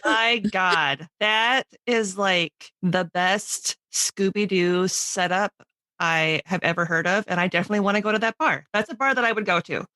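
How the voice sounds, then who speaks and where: A woman hosts a podcast with an enthusiastic, chatty manner. Her pitch is average for a woman. The sound is dry, with very little room in it, and her style is casual conversation. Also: moderate pace, bright, forceful tone, no room sound. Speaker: podcast host.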